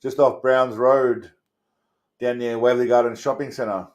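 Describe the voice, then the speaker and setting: An Australian man with a middling pitch chats off the cuff as the host of a livestream. His delivery is blokey and crude, full of swearing and rambling asides to his viewers. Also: steady tone, measured pace, muffled recording. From Australia, Melbourne suburbs. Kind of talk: casual livestream chat answering viewers. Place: indoors.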